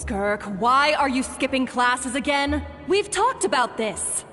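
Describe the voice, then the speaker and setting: A woman's voice: sits high, animated, a little slow, bright, forceful tone, exaggerated voice. An actress voicing a strict, worried mother in a game, scolding and fussing. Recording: game audio with long reverb and music.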